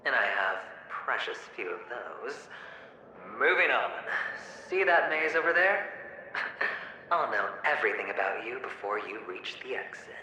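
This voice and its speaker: A man voicing a smug, teasing young man in a video game cutscene, speaking in a sardonic, deliberate way. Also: mid-range voice, sing-song, pushed and bright, exaggerated voice. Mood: taunting. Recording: very echoey game audio with ambient noise.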